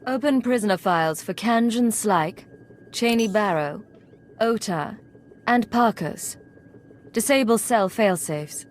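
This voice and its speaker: A woman's voice: medium pitch, expressive, a little slow, slight background noise, close to an everyday voice. An actress playing a cool female secret agent in a video game, speaking in a curt, controlled, authoritative way. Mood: composed. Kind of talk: video game character acting.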